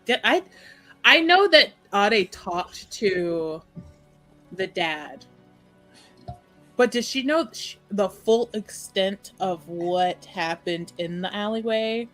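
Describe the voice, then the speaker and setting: Mid-pitched woman chatting as a tabletop player. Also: expressive, slow pace, fairly bright tone, faint music behind, rough recording. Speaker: player in a tabletop roleplaying game. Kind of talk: casual improvised game chat.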